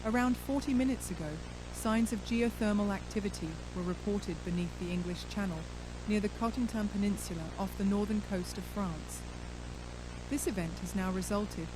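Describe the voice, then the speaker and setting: Woman reading emergency announcement over heavy hiss. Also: mid-range voice. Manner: formal, measured.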